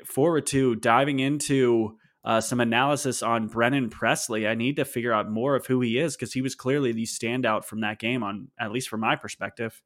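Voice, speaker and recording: A man's voice: medium pitch, a bit bright. A man hosting a casual sports podcast, opinionated and wry, talking with plenty of fillers. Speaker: podcast host.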